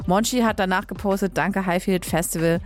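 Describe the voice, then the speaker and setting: A woman's voice: mid-range voice, fairly quick. A young woman from Leipzig hosting a radio music show, chatting casually and correcting herself as she goes. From Leipzig, Germany. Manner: chatty and informal, with frequent fillers and self-corrections. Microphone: studio microphone.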